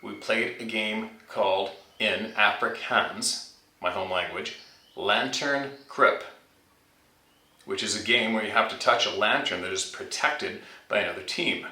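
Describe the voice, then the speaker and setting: A man's voice: on the low side, measured pace, sharp tone. A man hosting a channel, informally reading out and talking about scary stories sent in by listeners. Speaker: channel host reading out a viewer's submitted story. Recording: roomy echo, distant from mic.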